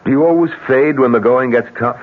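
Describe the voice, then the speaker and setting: An actor playing a blunt, practical businessman with a husky voice and a forceful, combative manner in an old radio drama. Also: mid-range voice, brisk, lightly acted. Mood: challenging. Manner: forceful, emphatic, rhetorical. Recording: tinny, narrow old radio recording.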